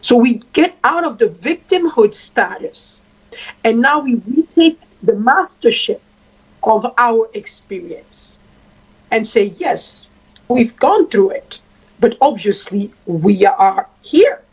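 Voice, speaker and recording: A woman from Congo, a traditional queen, speaking at length in a deliberate way and repeating phrases for emphasis. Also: fairly high pitch, slightly rough. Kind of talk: spoken address. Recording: dull lo-fi sound.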